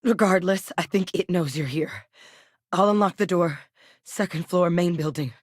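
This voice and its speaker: A woman's voice: fairly low pitch, normal speed, no echo, clean studio sound, natural voice. An actress voicing a guarded, driven woman military scientist in a space video game, speaking in a firm, earnest, matter-of-fact way. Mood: firm, urgent.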